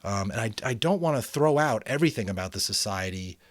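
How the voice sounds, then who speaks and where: Male amateur narrator, expressive and animated, dramatic book reading, dry recording. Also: lowish voice, brisk, no echo. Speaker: amateur voice actor reading an audiobook, commenting. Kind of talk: dramatic audiobook reading with casual commentary.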